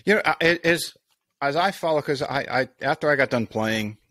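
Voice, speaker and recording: A man's voice: fairly high pitch, lively intonation, moderate pace, bright, forceful tone, no echo. Rambling male podcast host, dry close mic. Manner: rambling, many restarts and asides. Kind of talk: casual interview chat.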